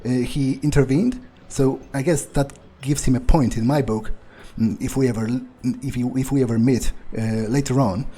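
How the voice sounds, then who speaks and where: Husky, mellow man musing aloud. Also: mid-range voice, fairly even intonation. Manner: sweary, halting, musing aloud. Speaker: gaming video player. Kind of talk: improvised in-character game commentary.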